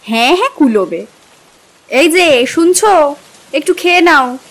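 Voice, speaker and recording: An actress playing an affectionate young wife, tender and expressive, dreamily uneasy, in a dramatized audio story. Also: female voice, high voice, measured pace. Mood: soothing, caring. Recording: music and rain behind.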